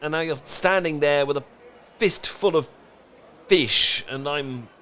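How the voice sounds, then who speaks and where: A British man acting a flustered, emotionally repressed and lovesick best friend in a comedic romantic audio roleplay, stammering and pleading in halting phrases. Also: a little high, bright, forceful tone. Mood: tender, confessional.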